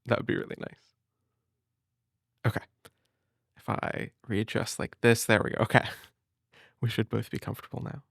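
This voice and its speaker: A man speaking gently and hesitantly in an intimate comfort roleplay, as a big brother reading a storybook. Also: on the low side, little pitch movement, moderate pace, creaky, long pauses, dry recording, clean studio sound. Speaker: audio roleplay performer as a caring big brother.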